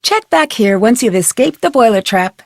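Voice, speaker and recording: A woman's voice: fairly high pitch, expressive, moderate pace, no echo, studio quality. A woman playing an inquisitive, polite teenage girl detective in an adventure game, with earnest scripted delivery. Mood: matter-of-fact.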